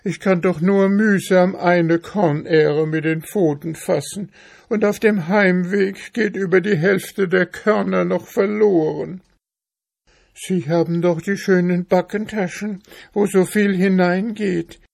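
A man's voice: high voice, steady tone, takes it slowly, creaky, a little mellow, voice pushed up, heavily acted. A man voicing a poor, hungry female mouse in a storybook, plaintive and politely begging. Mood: meek, complaining.

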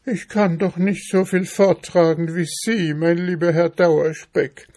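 Man putting on a high-pitched, whining little female mouse. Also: little pitch movement, measured pace, a little mellow. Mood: meek, complaining. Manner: whining, plaintive, polite begging. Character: poor hungry female mouse, pleading and plaintive.

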